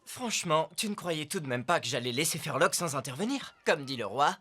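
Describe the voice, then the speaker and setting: Lively, wry young cartoon hero. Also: male voice, on the high side, fairly quick. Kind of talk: French animated series dubbing. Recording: music behind the voice.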